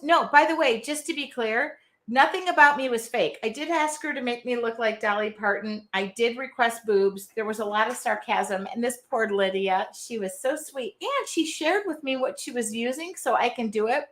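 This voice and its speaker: A chatty woman with a Midwestern accent and a nasal voice, pitched a little high. She hosts a casual live stream from her art studio, talking loudly and teasing herself as she goes. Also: moderate pace. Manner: loud, chatty, self-deprecating.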